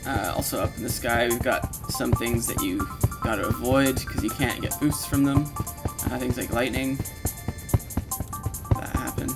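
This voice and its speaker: Male demo narrator, medium pitch, informal and explanatory, talking over prominent game music. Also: fairly even intonation, normal speed. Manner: informal, explanatory, addressing the listener as the player. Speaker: presenter of a video walkthrough, game sound designer. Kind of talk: casual walkthrough narration of a software demo. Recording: loud game music under the voice. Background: video game music and sound effects.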